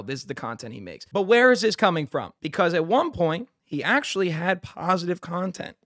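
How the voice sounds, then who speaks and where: A man's voice: a little high, a bit bright, no room sound. Sarcastic young man with a dry close mic. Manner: sarcastic, frequently swearing, acts out mocking quotes. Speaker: streamer and commentator. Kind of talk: casual commentary on clips. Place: small bedroom studio indoors. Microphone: studio mic close up on a boom arm.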